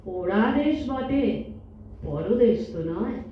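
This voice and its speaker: A woman playing a doting, hospitable elderly grandmother in a staged audio drama, homely and gently teasing. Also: mid-range voice, lively intonation, slow pace. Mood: welcoming, homely. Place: multipurpose hall stage. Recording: distant, echoey hall recording, muffled, faint noise.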